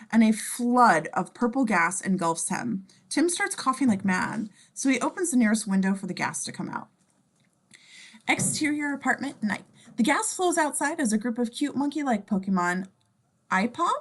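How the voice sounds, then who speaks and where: A woman's voice: on the high side, very animated, moderate pace. A woman reading scene descriptions for a table read, informal and given to wry asides. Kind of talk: scripted narration in casual table read.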